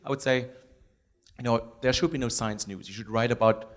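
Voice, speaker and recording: Brief: hoarse male academic, rapid and eager, handheld mic in a lecture room. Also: medium pitch. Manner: fast, enthusiastic, many restarts. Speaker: psychology professor. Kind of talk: panel discussion, audience member. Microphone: handheld microphone.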